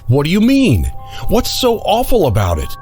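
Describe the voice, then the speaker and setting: A young traveler in a horror audio drama, anxious and full of urgent questions. His voice leaps wildly in pitch, with clear music and hooves behind it. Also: huge pitch swings, normal speed, a little mellow. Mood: concerned, puzzled. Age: young adult. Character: anxious young businessman traveler, polite, questioning, increasingly alarmed.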